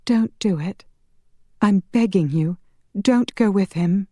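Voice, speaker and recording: A woman voicing a tender, anguished young female angel healer in an audiobook, pleading and breathy. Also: medium pitch, slow, warm tone, no room sound, studio quality, pitched above the performer's own voice. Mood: alarmed, pleading. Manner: breathy, whispering when afraid.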